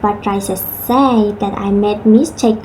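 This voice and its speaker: Slow, hesitant woman. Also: medium pitch, fairly even intonation, background noise. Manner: slow, halting, with many uh fillers. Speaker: student. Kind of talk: class presentation on pronunciation.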